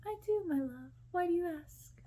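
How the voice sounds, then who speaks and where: A woman's voice: very high voice, expressive, moderate pace, dark tone, voice pushed up. A woman voicing a sweet, lovestruck young princess in a storybook reading. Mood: loving.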